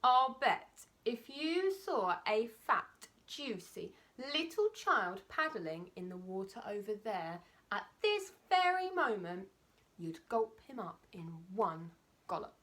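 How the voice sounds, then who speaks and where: A woman's voice: on the high side, big pitch swings, takes it slowly, a bit bright, exaggerated voice. A woman voicing an enormous, greedy, boastful male crocodile in a children's story, drawing words out with relish and sly whispers. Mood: hungry, gloating.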